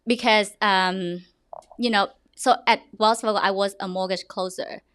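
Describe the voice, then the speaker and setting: A young woman with a Vietnamese accent, a real estate agent talking in a podcast interview, lively and often restarting her sentences. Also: mid-range voice, measured pace, clean tone, no echo, clean studio sound. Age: young adult. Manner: animated, restarts often, laughs.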